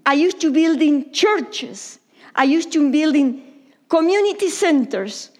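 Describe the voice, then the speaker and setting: Slow, high-voiced Argentine woman preaching. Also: lively intonation. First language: Italian. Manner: halting, repetitive phrasing in non-native English, sometimes dropping to a whisper. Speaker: pastor. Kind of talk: sermon. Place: church service.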